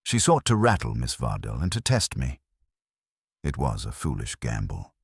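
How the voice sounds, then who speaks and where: A man voicing a stern, imperious detective who speaks formally and deliberately in an audiobook mystery. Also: a bass voice, huge pitch swings, slightly rough, no room sound, clean studio sound, pitched below the performer's own voice, heavily acted. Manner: formal, deliberate, pronouncing judgment. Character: stern, imperious male genius detective, coldly accusing.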